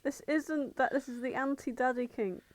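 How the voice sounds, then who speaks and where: Woman podcast cohost, kinda high voice, blunt cheeky casual chat, dry sound. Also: fairly even intonation, moderate pace, no room sound. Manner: blunt, irreverent. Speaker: podcast co-host.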